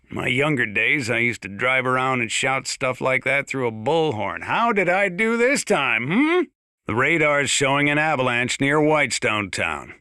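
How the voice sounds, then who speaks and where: An actor playing a folksy, rambling old American small-town sheriff with dry humor, giving emergency radio announcements in a video game. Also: male voice, medium pitch, sharp tone, no room sound. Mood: earnest, nostalgic. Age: older.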